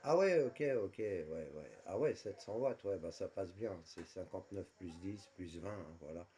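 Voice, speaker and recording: A man's voice: sits low, lively intonation, moderate pace, dark tone. A man chatting casually as a radio operator, repeating his confirmations and using radio jargon. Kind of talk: casual radio contact chat.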